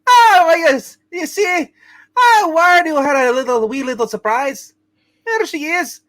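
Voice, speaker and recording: A man acting a cheerful, boyish younger brother in a hammed-up amateur reading, chatty and halting. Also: very high-pitched, animated, fairly bright tone, exaggerated voice. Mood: bubbly.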